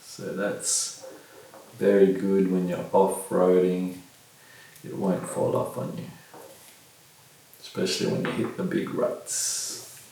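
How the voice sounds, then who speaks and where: Really echoey room, aussie guy middle aged doing a casual unboxing, gravelly voice, mic kinda far off. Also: deep voice, flat delivery, takes it slowly, long pauses, dark tone. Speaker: product reviewer. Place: small bare indoor room at a table. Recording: very echoey room, distant mic, faint noise.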